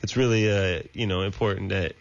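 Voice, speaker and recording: A man's voice: sits low, fairly even intonation, a little fast. A man from California, a musician guest on a radio show, telling stories in a rambling way with lots of restarts. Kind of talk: casual interview. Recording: dull muffled broadcast with faint music.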